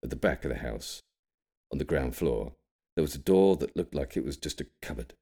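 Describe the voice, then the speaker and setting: A man voicing a cryptic old grandfather in an audiobook, gravely and carefully reminiscing about his wartime childhood. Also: very deep voice, animated, warm tone, full character voice. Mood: grave, nostalgic. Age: older. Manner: careful, measured, ominous storytelling. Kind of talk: audiobook character storytelling.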